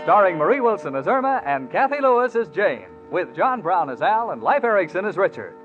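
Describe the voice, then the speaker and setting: A man's voice: high-pitched, sing-song, a little fast. A man announcing a 1940s radio comedy in period broadcast style. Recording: dull old radio recording, loud music. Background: loud brass fanfare.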